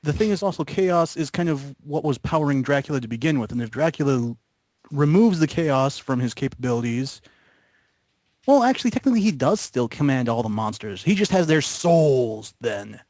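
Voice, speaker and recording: A man's voice: medium pitch, animated, moderate pace, darkish tone, no room sound. A man chatting casually about video games in a hesitant, rambling way. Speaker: member of a gaming video group.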